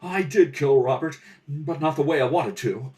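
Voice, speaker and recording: A man playing a jealous, embittered murderer in a radio mystery, shouting vengefully and desperately. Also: on the high side, normal speed, recorded from a distance, lightly acted. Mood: bitter, vengeful. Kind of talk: old-time radio mystery acting.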